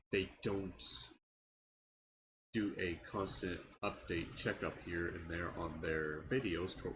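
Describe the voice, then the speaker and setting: A young man with a stuffy nose, talking in a casual vlog about his frustrations, halting and restarting his words. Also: sits low, background noise. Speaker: channel owner giving an update. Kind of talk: casual personal vlog rant.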